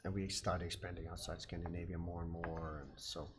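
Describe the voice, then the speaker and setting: A man speaking English, explaining things in a halting, hesitant way. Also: low voice, little pitch movement. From Denmark. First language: Danish. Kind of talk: casual chat. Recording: noisy room with clicking.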